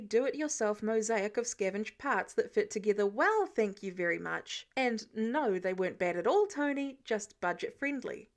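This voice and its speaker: High-voiced woman narrating a story. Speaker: fan fiction audiobook reader. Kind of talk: expressive scripted story narration.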